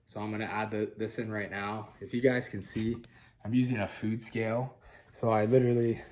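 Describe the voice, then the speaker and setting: A young man with a raspy, slightly nasal voice from being sick, talking quietly to the camera while cooking in his home kitchen. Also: lowish voice. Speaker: cooking vlog host. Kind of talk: casual cooking demo. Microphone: camera on the counter.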